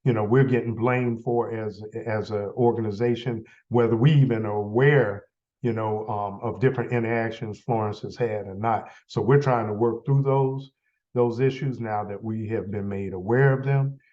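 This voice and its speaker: A man with a cold, sounding a little stuffy, leading a members' meeting in a halting, filler-heavy way. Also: fairly low pitch, steady tone, mellow, highs cut off. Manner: halting, many fillers and restarts. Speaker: association leader running a meeting. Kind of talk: casual meeting update.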